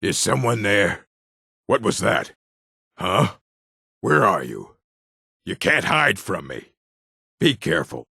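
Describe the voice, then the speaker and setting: An actor playing a gruff, combative male orc townsman in a video game, with a rough, husky voice and a blunt, curt way of speaking. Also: on the low side, very animated, slow pace, pauses often, sharp tone, no echo, studio quality, full character voice. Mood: wary, gruff. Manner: blunt, curt, threatening delivery. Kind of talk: video game character barks.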